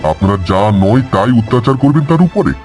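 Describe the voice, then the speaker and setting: A man's voice: mid-range voice, animated, fast talker, darkish tone, faint music behind, natural voice. A man playing an honest village man in a cartoon, respectful but firm, protesting earnestly and politely. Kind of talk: cartoon dialogue.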